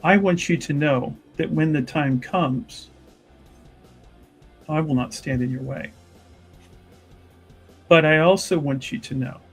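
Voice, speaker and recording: A man playing an earnest, solemn member of a fantasy church in a tabletop game, speaking in measured, halting, conciliatory phrases. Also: fairly high pitch, breathy edge, full character voice. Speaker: tabletop roleplay player. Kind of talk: in-character improvised dramatic dialogue.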